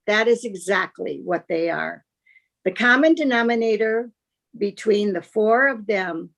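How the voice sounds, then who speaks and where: An older woman opens a panel with measured, gracious formality. She speaks in a middle-pitched voice, and it comes through a video call microphone. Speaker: panel moderator.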